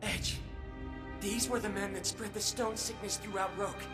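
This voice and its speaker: A man's voice: sits high, steady tone, moderate pace. A man playing an intense, earnest young warrior companion in a video game, speaking with urgency. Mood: urgent. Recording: distant, echoey hall under loud music.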